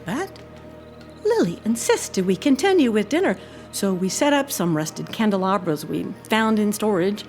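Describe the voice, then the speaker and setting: An actress playing an earnest, proud housekeeper who tells a haunting tale in a deliberate, expressive way. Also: female voice, mid-range voice, faint music behind, lightly acted. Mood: haunted, grave. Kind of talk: dramatic audio-drama storytelling.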